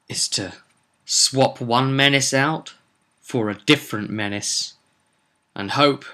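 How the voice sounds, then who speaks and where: A man narrating a tabletop roleplay recap as game master, theatrical and emphatic, with deliberate pauses. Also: medium pitch, sharp tone. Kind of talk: dramatic storytelling recap.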